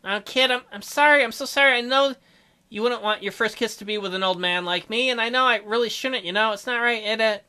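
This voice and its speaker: A man voicing a gentle, flustered doctor in a visual novel, stammering and hesitant as he talks. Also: high voice, brisk, clear, resonant tone. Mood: apologetic, sorrowful. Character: gentle, flustered male doctor, self-described old man.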